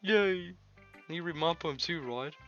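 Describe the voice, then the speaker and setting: A man's voice: a little high, slow pace, a bit bright, pitched above the performer's own voice. A man with a cold voicing a cheery, childlike anime schoolgirl in a visual novel, bubbly and eager. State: congested with a cold. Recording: dull lo-fi mic with music behind.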